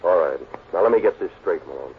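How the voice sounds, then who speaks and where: An American actor playing a blunt, needling, self-satisfied police lieutenant in a 1940s radio drama. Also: male voice, sits low, animated, fast. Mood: questioning. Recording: tinny, noisy 1940s radio recording.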